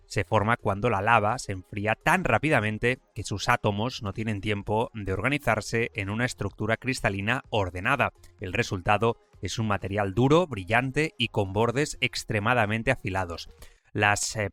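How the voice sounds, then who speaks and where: A man with a Spain accent hosting a podcast, reading educational narration in a clear expository way. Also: on the low side, bright, forceful tone, no room sound. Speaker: podcast host.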